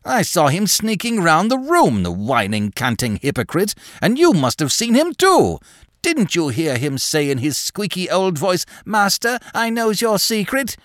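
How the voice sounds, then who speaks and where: An agitated old gentleman from a storybook, pitched far above a normal man's voice. He is excitable and abrupt, swinging wildly as he rambles in a sharp, strained tone. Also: a little slow, dry recording, clean studio sound, full character voice. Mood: wild, accusing. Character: agitated, wild old gentleman with a feeble mind.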